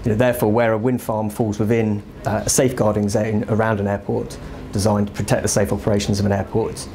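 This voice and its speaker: A man's voice: lowish voice, flat delivery, fast talker, mellow. A middle-aged man explaining as a consultant in an indoor interview. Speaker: aviation consultant. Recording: faint indoor ambient noise.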